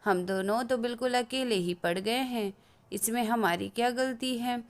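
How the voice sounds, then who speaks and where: A woman's voice: medium pitch, clean tone. A woman narrating a scripted children's moral story as the storyteller.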